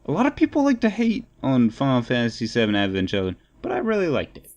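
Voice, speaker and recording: A man's voice: mid-range voice, huge pitch swings, quick pace, darkish tone, highs cut off. A man co-hosting a podcast in casual, opinionated discussion, rambling and repeating phrases as he thinks aloud. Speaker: podcast co-host.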